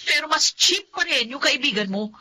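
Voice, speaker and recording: Woman voicing a jealous young woman, brash, mocking, bright sharp voice, radio drama. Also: high-pitched, animated, exaggerated voice. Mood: taunting. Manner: taunting, mocking, quick to insult.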